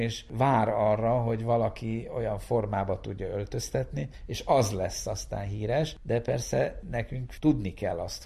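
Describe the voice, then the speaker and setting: A man speaking as a researcher in an explanatory interview, in a measured way, searching for his words. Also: lowish voice, vocal fry, mellow, husky. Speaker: network science researcher.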